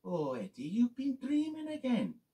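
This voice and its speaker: A storyteller voicing a calm, motherly female trailer who speaks soothingly. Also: mid-range voice, unhurried, pitched above the performer's own voice. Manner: soothing, gentle.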